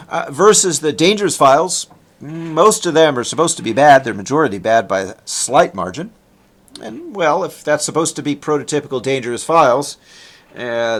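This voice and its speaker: A man's voice: mid-range voice, a bit bright. A man giving a technical talk as a presenting researcher, explaining step by step in a conversational way and posing questions as he goes. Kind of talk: technical lecture with explanatory narration of slides.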